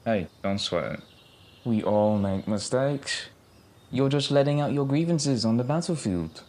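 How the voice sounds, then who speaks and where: A man's voice: mid-range voice, lively intonation, a little slow, a little mellow, close to an everyday voice. Man acting an easygoing, teasing guardsman. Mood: reassuring. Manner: laid-back, matey. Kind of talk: audio drama acting.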